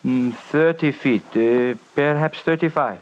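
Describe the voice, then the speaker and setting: An actor playing a grave, earnest Sherpa guide in an old radio drama, speaking in formal, measured phrases. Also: male voice, medium pitch, natural voice. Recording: noisy, muffled old radio recording.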